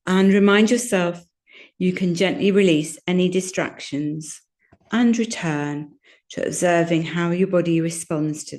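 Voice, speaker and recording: A woman teaching yoga nidra, guiding a relaxation meditation in a gentle, soothing, unhurried manner with long pauses. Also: on the low side. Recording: roomy, echoing sound.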